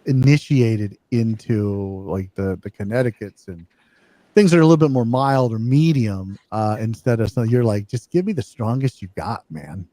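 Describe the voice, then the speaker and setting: Animated joking man in his forties. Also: fairly low pitch, moderate pace, dry recording. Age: 40s. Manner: joking, teasing, animated delivery with laughs. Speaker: podcast host. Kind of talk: casual chat, interviewing a guest.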